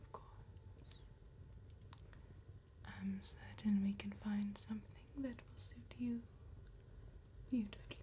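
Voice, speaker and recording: A woman playing a seductive, predatory female vampire tattoo artist in a breathy, intimate ASMR whisper, slow, teasing and flattering. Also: medium pitch, natural voice. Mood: coaxing, flattering. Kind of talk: ASMR roleplay, soft spoken and whispered.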